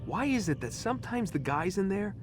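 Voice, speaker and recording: A man's voice: high-pitched, very animated, fairly quick, over music. A man playing a sarcastic, frustrated young American captive in a video game, with slangy, wry delivery. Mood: probing, sarcastic. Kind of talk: naturalistic video game acting.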